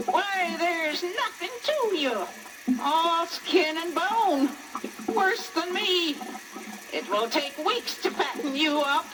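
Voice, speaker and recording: A scrawny old witch from a children's audio tale, greedy and menacing. Her voice is high, drawn out and wildly animated. She gloats and cackles, with music on the old record. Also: heavy background noise, exaggerated voice. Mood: scheming, gleeful. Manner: slow, gloating, menacing delivery. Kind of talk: children's story record acting.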